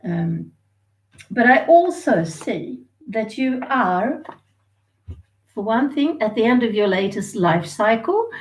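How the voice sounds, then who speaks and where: A woman's voice: a little high, sing-song, slow, pauses often, low-quality recording. A woman, from Sweden and Australia, giving a chatty, rambling live tarot reading and laughing easily. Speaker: tarot reader.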